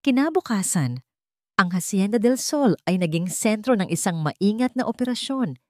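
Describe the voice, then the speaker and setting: A woman with a Filipino accent reading a scripted dramatic story in a measured, suspenseful way. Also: medium pitch, very animated, mellow, no echo, studio quality. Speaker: story narrator.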